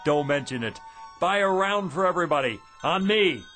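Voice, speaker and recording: Lively, kindly older shopkeeper. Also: male voice, on the high side, moderate pace, faint music behind. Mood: generous, jovial. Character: kindly generous older shopkeeper, newly rich husband. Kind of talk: radio drama acting.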